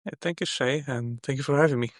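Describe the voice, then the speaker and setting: A man from Romania, a freelance software engineer, talking as a guest in a casual interview, thoughtful and full of "you know" fillers. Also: medium pitch, quick pace, no echo, studio quality.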